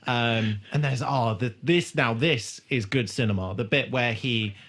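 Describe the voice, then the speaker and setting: A man's voice: medium pitch, measured pace, a bit bright. A man co-hosting a podcast, casually and a little hesitantly piecing together the plot of a TV episode, with informal, sweary language. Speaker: podcast co-host. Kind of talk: casual chat recapping a TV episode.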